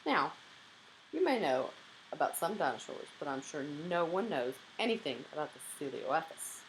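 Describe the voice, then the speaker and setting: Young female tour guide read by a woman narrator: polite, deliberate, informative, lower register, storybook style. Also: very animated, slight background noise, poor audio. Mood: instructive. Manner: clear, deliberate, instructive.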